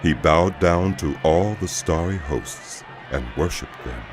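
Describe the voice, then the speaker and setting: A man narrating scripture for a dramatized audio production in a solemn, measured storytelling manner. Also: low voice. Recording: loud music behind the voice. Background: loud music.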